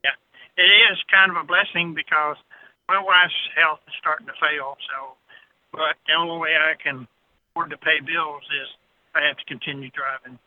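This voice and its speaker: Veteran trucker guest, male, 60s. Folksy, plainspoken storyteller, slightly high pitch, thin phone-call audio. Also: fairly even intonation, moderate pace, no room sound. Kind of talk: casual anecdotal storytelling. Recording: narrow tinny phone-line sound.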